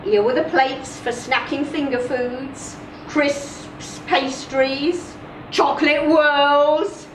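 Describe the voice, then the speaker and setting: High-voiced woman reading a story, slowly. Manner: deliberate, expressive, with measured pauses. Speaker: reader of a short story. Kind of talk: dramatic first-person story reading, live literary performance. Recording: roomy, distant mic, clear background noise.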